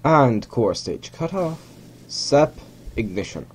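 A man's voice: mid-range voice, very animated, slow, breathy edge. A young man whose first language is Hungarian, casually commentating a game rocket launch at home, rambling and correcting himself as he goes. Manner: rambling, self-correcting, chuckling asides. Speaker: gamer narrating a rocket launch. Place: small room at home.

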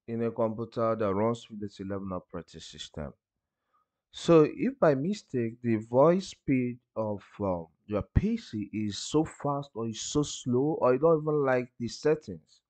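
A man guides listeners through a software tutorial one step at a time. His intonation is lively, rising and falling widely at a middling pitch, with short phrases that open on an emphatic word. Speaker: tutorial presenter.

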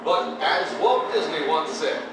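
A man's voice: on the high side, animated, unhurried. A man giving scripted exhibit narration in a theatrical, playful manner. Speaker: pre-show narrator. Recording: echoey hall playback from far off, music. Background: loud music.

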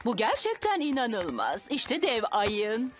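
A woman voicing a brisk, blunt lady rabbit running a fairground stall in a children's cartoon. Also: a little high, wildly swooping pitch, over loud music, exaggerated voice. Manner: salesy, blunt.

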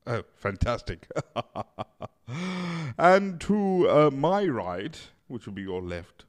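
A man hosting a comedy show in a theatrical, mock-formal way. His pitch swings widely while he keeps an unhurried pace. He speaks into a desk mic in a small home studio. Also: very animated. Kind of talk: hammy scripted comedy hosting. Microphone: desk mic on boom arm.

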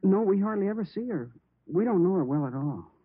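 An actor playing a nervous, polite teenage stepson in an American 1950s radio drama, earnest and hesitant. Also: male voice, sits high, very animated, fairly quick, breathy edge, no room sound, full character voice. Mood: polite, troubled. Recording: muffled, narrow-band vintage radio recording.

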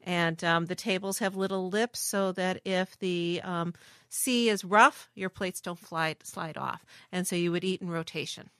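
A woman's voice: fairly low pitch, steady tone, unhurried, a bit bright, dry recording. A middle-aged woman, a former museum director, explaining things in a methodical, informative way with some fillers while seated aboard a submarine. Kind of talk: explanatory interview talk. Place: submarine galley.

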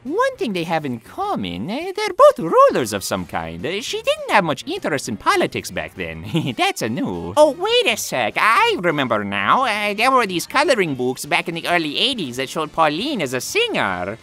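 A man plays an easygoing cartoon plumber hero. The voice is bright and hugely animated in pitch. He sounds skeptical and wry, chatting casually like an unbothered adventurer. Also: normal speed, hardly any pauses, soft background music, heavily acted. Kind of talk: cartoon fan-dub acting.

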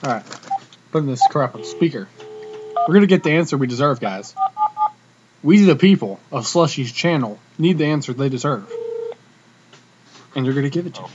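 A young man chatting casually to camera in a cocky, slangy, animated way, like a comedic vlogger. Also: medium pitch, moderate pace, long pauses. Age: young adult. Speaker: vlogger, prank caller. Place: small room indoors. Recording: dull webcam audio with noticeable hiss.

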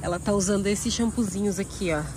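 A woman's voice: mid-range voice, steady tone, fast talker, background noise. A Brazilian woman chatting to her viewers on a casual vlog, moving between outdoors and a car at a campground. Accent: Brazilian Portuguese. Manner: chatty, addresses viewers directly. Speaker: vlogger.

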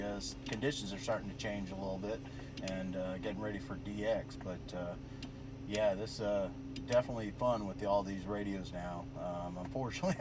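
An American man chatting casually over the radio in a rambling, easygoing way, recorded in a noisy parked car. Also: on the low side. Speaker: amateur radio operator. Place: inside a parked car at roadside with windows down.

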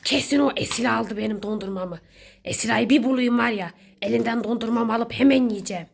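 A woman's voice: on the high side, a little fast, vocal fry, husky. A woman playing a greedy, ever-hungry neighbour intruder who whines and mutters in a children's pretend-play skit. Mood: scheming. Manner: whining, pleading, muttering to self. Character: whiny, greedy, ever-hungry pot-bellied neighbour intruder scheming for snacks.

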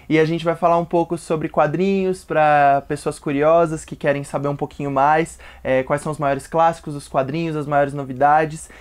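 A man's voice: fairly high pitch, little pitch movement, moderate pace, few pauses, clear, resonant tone. A young Brazilian man appearing as a guest in a casual comic book review chat, easygoing and jokey, recorded at home on a lapel mic. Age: young adult. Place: home room with bookshelves.

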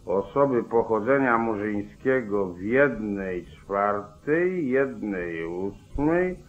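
An actor playing a weary, grumbling small-town sheriff in a 1940s radio play. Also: male voice, lowish voice, lively intonation, slow. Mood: reading formally. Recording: dull, lo-fi old radio recording.

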